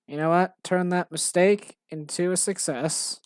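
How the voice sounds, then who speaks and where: Low-voiced woman rambling on a stream. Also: steady tone, measured pace, a little mellow, dry recording. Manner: rambling, lots of fillers and restarts, self-mocking. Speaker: game developer streaming her work. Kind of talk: casual rambling stream chat.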